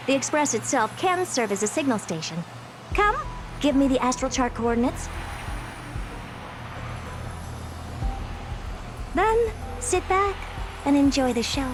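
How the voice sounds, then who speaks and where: Haughty, animated genius researcher. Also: female voice, high voice, normal speed, background noise. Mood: commanding. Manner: condescending, commanding, theatrical. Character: haughty, playful genius woman researcher, theatrical and self-assured. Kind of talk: video game voice acting.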